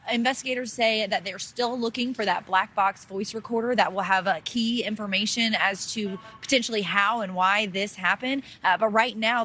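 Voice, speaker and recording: A woman's voice: medium pitch, pushed and bright. A woman delivering a live news report as a field reporter. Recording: outdoor live report with background noise.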